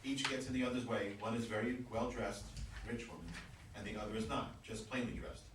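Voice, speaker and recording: A man's voice: on the low side, fairly even intonation, brisk. A man reading stage directions aloud at a live play reading. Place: echoey room at a live reading. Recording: echoey room, distant mic, noisy.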